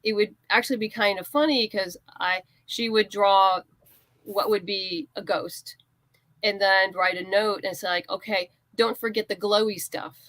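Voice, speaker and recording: A woman's voice: medium pitch, fairly even intonation, measured pace, a bit bright, no room sound. Woman guest telling anecdotes, dry close sound. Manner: informal, explains through anecdotes and quoted exchanges. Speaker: guest, writer and comics creator. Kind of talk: conversational interview answers, anecdotes.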